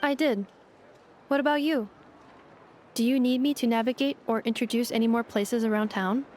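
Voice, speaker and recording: Actress as a precise, higher-pitched robot girl. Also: moderate pace, very noisy, close to an everyday voice. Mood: helpful. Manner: formal, precise, analytical. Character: polite, literal-minded young female robot helper. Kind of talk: scripted game dialogue.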